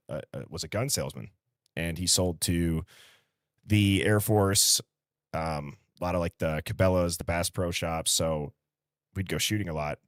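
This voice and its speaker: Livestream host: American male, early thirties, low voice, close dry sound, casual and conversational. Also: no room sound. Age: early 30s. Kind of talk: casual live-stream chat, reading out viewer comments.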